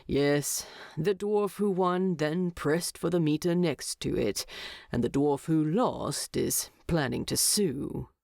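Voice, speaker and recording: A woman voicing a gruff, grumbling dwarf king with a dry manner in a narrated story. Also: low voice, takes it slowly, darkish tone, no echo, heavily acted. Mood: exasperated.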